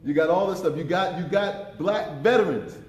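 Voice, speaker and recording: Deep-voiced man in his fifties, emphatic and impassioned, speaking a bit high for his range in a roomy hall. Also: normal speed, a little mellow, dull audio. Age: 50s. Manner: impassioned, emphatic, repeats words when pressing a point. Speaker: panelist. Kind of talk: conference panel talk. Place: conference room. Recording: echoey conference room.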